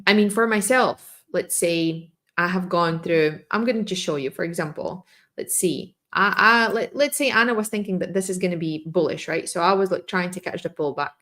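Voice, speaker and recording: A woman hosting a live trading stream, losing her voice and clearing her throat as she explains in a loose, restarting way. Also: on the low side, normal speed, fairly bright tone.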